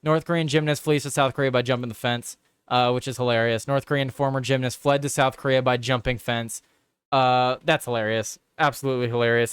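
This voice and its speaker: A man's voice: mid-range voice, clear, resonant tone, no room sound. Casual swearing man hosting a stream. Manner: casual, swears, repeats himself when reacting. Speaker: host of a live political show. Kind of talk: live stream commentary, reading headlines and reacting to chat.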